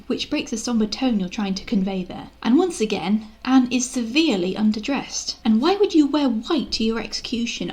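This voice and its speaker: A woman's voice: fairly high pitch, darkish tone. A woman delivering scripted, sarcastic film commentary with exasperated asides. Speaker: video essay host, film reviewer. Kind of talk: scripted critical commentary on screen dramas.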